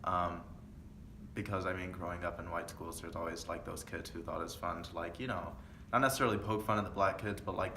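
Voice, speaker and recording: A young man, a second-year college student, answering interview questions thoughtfully with many pauses and fillers. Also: low-pitched, sharp tone. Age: young adult. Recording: echoey room with faint noise.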